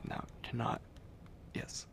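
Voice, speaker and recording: A man voicing a possessive, courtly, seductive vampire lord in an intimate ASMR roleplay, murmuring breathily and deliberately close to the microphone. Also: close to an everyday voice. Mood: coaxing, intrigued. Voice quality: breathy, gravelly low whisper.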